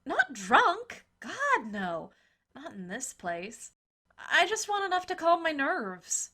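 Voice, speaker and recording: A woman's voice: sits high, huge pitch swings, takes it slowly, pushed and bright, heavily acted. A woman playing a spooked, snappish young girlfriend who complains dramatically in a cheesy horror audio drama. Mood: irritable.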